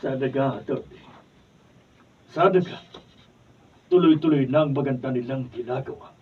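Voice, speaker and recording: A man's voice: mid-range voice, moderate pace, close to an everyday voice. An actor playing a hurt old father who reproaches his children, in a radio drama. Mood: relieved, quiet. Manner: sorrowful, reproachful pleading. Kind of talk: 1970s-style radio drama acting. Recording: muffled studio from far off.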